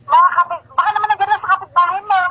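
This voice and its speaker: Quick, high-pitched Filipino woman on the phone. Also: steady tone, pushed and bright. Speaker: phone call recipient, an aunt. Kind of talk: casual phone conversation. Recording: dull, muffled phone call audio.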